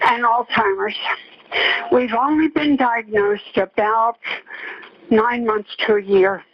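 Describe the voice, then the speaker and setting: An older woman calling emergency services on the phone, distraught and shaken, speaking haltingly with exclamations. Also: fairly high pitch, fairly even intonation, husky, dry recording. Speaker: emergency caller. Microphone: telephone. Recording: muffled lo-fi telephone line.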